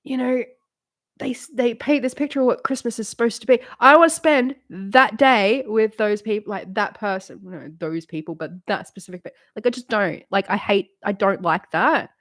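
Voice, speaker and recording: A woman's voice: medium pitch, moderate pace, fairly bright tone, no room sound, studio quality. A woman in her late thirties chatting casually on a live stream, rambling and candid, swearing freely and laughing often. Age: late 30s.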